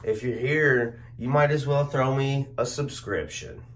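A young man talks unhurriedly, his voice hoarse and half gone. He chuckles at his own jokes in a laid-back way. He is recorded close on a phone camera in a small room at home. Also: medium pitch, unhurried, a bit bright. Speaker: soap review vlogger. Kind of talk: casual product review. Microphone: phone or webcam at arm's length.

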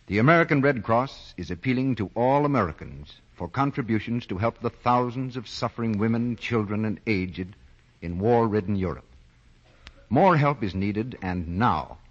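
A man reading scripted announcements as a 1940s radio announcer. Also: on the low side. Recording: dull vintage 1940 radio broadcast recording.

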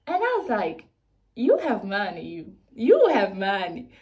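A young woman from South Africa giving casual, chatty advice to viewers in a playful, animated way. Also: mid-range voice, unhurried. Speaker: fitness vlogger.

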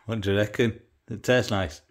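Low-voiced teasing man behind the camera. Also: steady tone, normal speed. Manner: teasing, laughs a lot. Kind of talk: casual chat behind the camera. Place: home kitchen. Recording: kitchen with clattering dishes.

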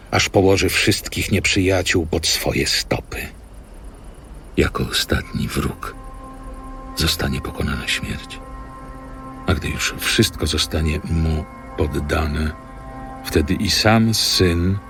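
A man reading scripture as solemn scripted narration with deliberate, measured phrasing. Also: rumbling low, creaky. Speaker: scripture reader. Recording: music behind studio narration.

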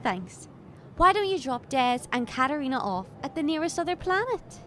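A woman's voice: high-pitched, very animated, normal speed, sharp tone, heavily acted. A woman playing a curious, kind-hearted young travelling companion in an audio drama, informal and full of questions. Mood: coaxing. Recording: faint background noise.

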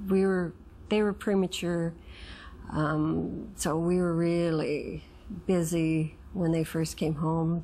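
Middle-aged female interviewee, unhurried and halting, reflective, faint background noise. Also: fairly low pitch. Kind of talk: reflective personal account. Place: indoor interview set.

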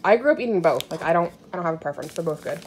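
A woman's voice: deep voice, animated, very fast. An American woman in her thirties chatting casually and candidly to camera in a rambling, conversational way, recorded on a handheld phone at home. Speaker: home vlogger. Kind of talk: casual chat showing groceries to camera. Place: indoors in a home kitchen. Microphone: handheld phone at arm's length. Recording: clearly noisy home recording, crinkling packaging.

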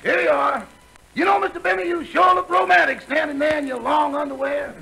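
An actor playing a cheeky wisecracking valet in a 1940s radio comedy, with a gravelly, raspy voice. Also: male voice, very high voice, steady tone, brisk, bright, forceful tone, heavily acted. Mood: teasing. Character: cheeky wisecracking male valet to a vain boss. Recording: very noisy old 1940s radio recording.

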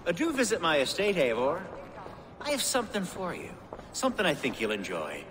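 An actor playing a genial, ingratiating wealthy Saxon lord in a video game, with an effusive, flowery manner. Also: male voice, a little high, wildly swooping pitch, a little fast, noisy background. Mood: courteous, proud. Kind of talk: video game drama acting.

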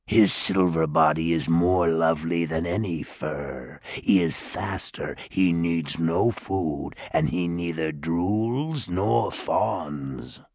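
A haughty dwarf lord, as a narrator puts him on, rumbling at the very bottom of his range. He drags every word out slowly and menacingly, savoring his power. The storybook voice is recorded dry and close. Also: darkish tone, no echo, full character voice. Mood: gloating. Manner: slow, gloating and menacing. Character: haughty, scheming dwarf lord, male, adult. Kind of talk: audiobook character voice.

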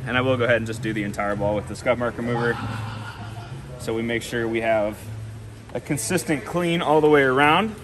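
A man's voice: mid-range voice, fairly quick, a little hiss or hum. A young man giving a casual hands-on product demonstration, explaining plainly step by step. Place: large carpeted indoor venue. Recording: busy public room with background chatter. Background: crowd murmur.

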